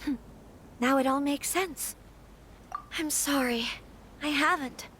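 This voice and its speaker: A woman playing an earnest, troubled young noblewoman in a game, gentle and hesitant. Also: high voice, slow pace, slight background noise. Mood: anxious, surprised.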